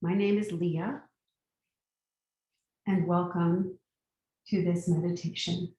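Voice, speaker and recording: A woman guiding a meditation as a teacher, giving gentle, unhurried instructions with long pauses. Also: fairly low pitch, steady tone. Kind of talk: guided meditation. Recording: very echoey room, distant mic.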